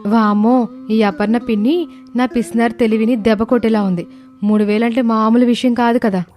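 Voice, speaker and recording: Cartoon stingy daughter-in-law played by a woman: high, bright-voiced but not shrill, scheming, wheedling, pushy. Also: steady tone, normal speed, soft background music, lightly acted. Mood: indignant scheming. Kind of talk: animated moral story dialogue.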